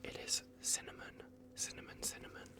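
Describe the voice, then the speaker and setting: A performer voicing a soft-spoken, bumbling vampire doctor in an ASMR roleplay, whispering hesitantly and repeating reassurances. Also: a little fast, close to an everyday voice. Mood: pleased, reassuring. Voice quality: whispered, creaky. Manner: hushed, hesitant, repetitive, reassuring.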